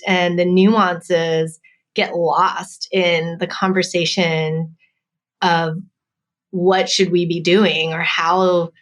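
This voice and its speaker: A woman's voice: on the low side, fairly bright tone. A woman in her thirties with an American accent, a professor speaking as an interview guest in a reflective, hedging way. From United States. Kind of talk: casual interview conversation.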